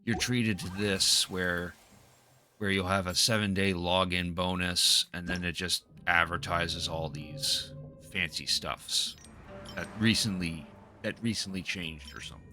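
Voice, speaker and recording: A man streaming a video game with casual commentary, halting and repeating his phrases as he talks half to himself. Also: low-pitched, fairly even intonation, sharp tone. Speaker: game livestreamer. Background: game music.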